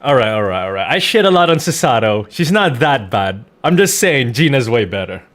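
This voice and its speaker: A man's voice: medium pitch, big pitch swings, fairly quick, fairly bright tone. A man giving casual gameplay commentary as a streamer, slangy and profane in a bantering way. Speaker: game streamer and voice actor.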